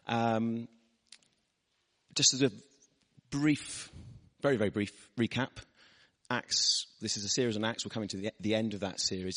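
Husky-voiced man preaching in a hall. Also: mid-range voice, fairly bright tone. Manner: chatty, storytelling, asks the audience. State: hoarse from losing his voice. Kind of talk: sermon with personal stories. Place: church hall. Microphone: microphone. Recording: reverberant church hall.

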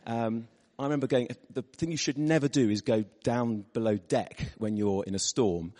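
A preacher with a hoarse, husky voice, giving a chatty sermon with personal stories to a church audience. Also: male voice, mid-range voice, normal speed, warm tone. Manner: chatty, storytelling, asks the audience. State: hoarse from losing his voice. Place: church hall. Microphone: microphone. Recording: reverberant church hall.

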